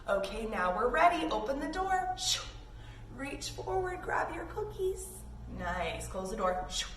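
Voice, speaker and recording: High-voiced woman leading kids in playful yoga. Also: a bit bright. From Canada. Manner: encouraging, playful, with sound effects. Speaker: children's yoga instructor and host. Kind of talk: instructional play-along for young children. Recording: roomy, distant mic with faint noise.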